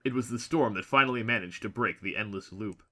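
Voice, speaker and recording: A man reading a scripted story as a narrator, with expressive, dramatic delivery. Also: lowish voice, a little fast. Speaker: audiobook narrator.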